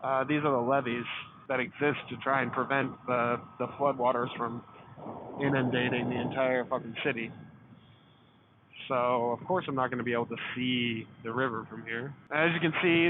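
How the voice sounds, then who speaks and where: A man's voice: medium pitch, little pitch movement, normal speed. A man with a light Southern US drawl talking to camera outdoors in a rambling, casual way and cursing freely. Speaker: motorcycle travel vlogger. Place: outdoors near a levee. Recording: tinny phone-like sound with loud background noise.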